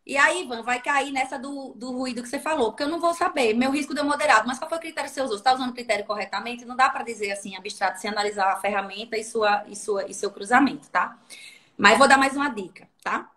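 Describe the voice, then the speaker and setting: A woman's voice: a little high, quick pace. A woman with a northeastern Brazilian accent from Sergipe, a labor inspector giving practical tips in a livestream talk and often checking in with her listeners. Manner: animated, instructive, checks in with "tá?".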